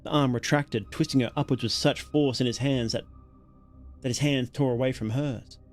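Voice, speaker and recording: A man narrating a horror story as a livestreamer, dramatic and solemn, in deliberate, weighted phrases. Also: medium pitch, steady tone, soft background music.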